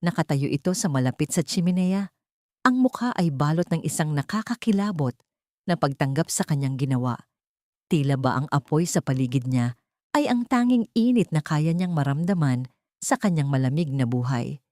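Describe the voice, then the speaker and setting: A woman's voice: low-pitched, animated, moderate pace, darkish tone, dry recording, clean studio sound. A woman with a Filipino accent reading a scripted story as a dramatic audiobook narrator.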